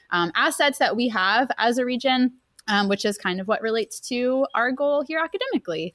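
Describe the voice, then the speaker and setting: A woman's voice: medium pitch, a bit bright, no echo. A woman, introducing guests at a live event, earnest and with many "um" fillers. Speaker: program director introducing guests. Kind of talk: prepared remarks at a live event.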